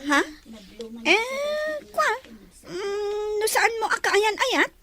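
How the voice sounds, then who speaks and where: A woman's voice: extremely high pitch, very animated, drawn-out words, crowd noise, full character voice. A woman voicing a chatty, teasing and hesitant teenage girl in an audio drama, with an Ilocano Filipino accent. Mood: teasing, coy.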